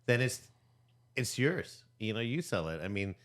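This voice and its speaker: A man's voice: mid-range voice, very animated, moderate pace, a bit bright. A man from Peru co-hosting a podcast, chatting casually and rambling with lots of fillers and restarts. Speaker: podcast co-host. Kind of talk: casual chat.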